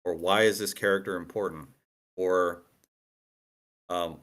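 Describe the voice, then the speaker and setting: Male, fairly low register. Casual, emphatic writer giving feedback in an online group. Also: fairly even intonation, a little slow, fairly bright tone. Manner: emphatic, explains at length with repetition. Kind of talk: casual group discussion of scriptwriting. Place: online meeting room.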